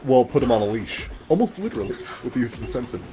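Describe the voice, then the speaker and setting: A man's voice: medium pitch, big pitch swings, very fast. A man giving casual game commentary as the host, explaining things in a conversational way. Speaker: gaming video host.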